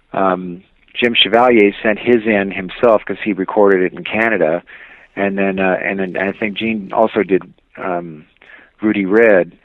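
A man being interviewed as a music producer, reminiscing casually in a halting, rambling way. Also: lowish voice, no room sound. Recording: dry but dull, lo-fi audio.

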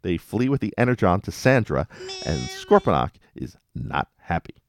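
Man, podcast host, deep voice, animated intonation, casual recap, wry asides. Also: normal speed, slight background noise.

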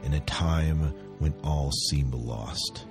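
Movie trailer announcer, played by a man: very deep, solemn, slow, portentous, mock-epic. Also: over loud music. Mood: grave, epic. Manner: slow, portentous, dramatic pauses.

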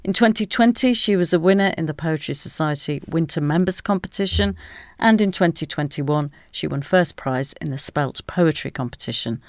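Deep-voiced woman radio host. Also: no echo, studio quality. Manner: measured, clear presenter delivery. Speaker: arts programme host. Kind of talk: radio interview and introductions.

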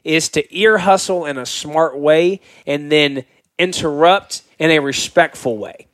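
A young man chatting casually as a podcast co-host, giving dating advice and acting out sample dialogue in an animated way. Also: fairly high pitch, a little slow, no echo, clean studio sound.

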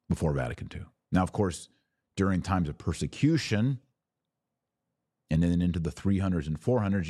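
A man with a low voice hosts a religious podcast in a very dry recording. He speaks informally and directly to his listeners. His delivery is conversational, with firm emphasis on his points. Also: warm tone, husky, no room sound. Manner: emphatic, conversational, acts out imagined dialogue.

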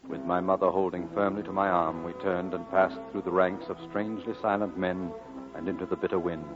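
Solemn narrator, played by a man, lowish lyrical delivery, vintage radio drama with music underneath. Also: level tone, fairly quick, natural voice. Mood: solemn, reminiscent. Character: grown man solemnly recalling his boyhood. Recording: dull old radio broadcast, clear music behind.

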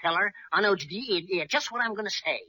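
A man's voice: high-pitched, expressive, quick pace, bright, forceful tone, dry recording, heavily acted. An actor playing a timid, flustered male employee with a heavy comic stutter, nervous and hesitant, in an old radio comedy. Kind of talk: mid-century radio comedy acting. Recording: old radio show, audience noise.

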